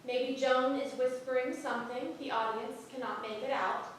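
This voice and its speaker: A woman with a high voice reads stage directions as scripted narration. She sounds distant, in a very reverberant room with faint noise. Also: steady tone, normal speed. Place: theatre stage. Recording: roomy echoing stage, distant mic, rumble.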